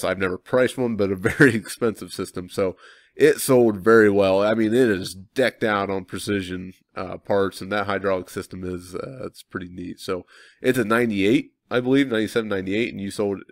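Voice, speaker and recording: Young male farmer vlogger, slightly low voice, stuffy with a cold, relaxed chatty delivery, dry close sound. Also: normal speed, creaky, no room sound. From Midwestern United States. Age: young adult. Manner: thinks aloud with many ums and self-corrections. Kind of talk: casual walkthrough talk to viewers.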